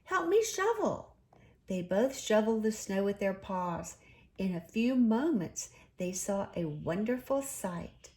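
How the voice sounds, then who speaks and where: Woman, children's storybook narrator, slow pace, expressive, deliberate. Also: medium pitch. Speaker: storybook reader.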